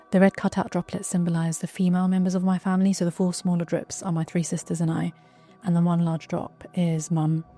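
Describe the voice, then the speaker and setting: A woman's voice: fairly low pitch, dark tone. A woman giving a conversational personal testimony in which she explains things.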